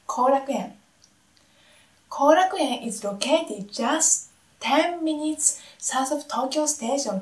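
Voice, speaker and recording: A young Japanese woman, a native Japanese speaker, giving travel tips to the camera in slightly halting English with a smiling, friendly manner. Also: on the high side, echoey room, far from the mic, slight background noise, poor audio. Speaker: local guide presenter.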